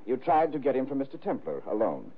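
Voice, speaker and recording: An actor playing a suave, menacing crime boss with pompous, formally witty speech in a 1950s radio drama. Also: male voice, medium pitch, sing-song, quick pace, husky. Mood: haughty. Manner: pompous, drawling formal wit. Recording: narrowband 1950s radio broadcast.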